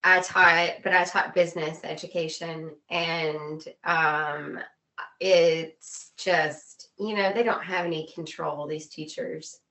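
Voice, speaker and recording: Bright-voiced woman, low pitch, emphatic. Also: fairly even intonation, takes it slowly, poor audio. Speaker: interview guest, former teacher. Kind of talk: casual opinionated chat.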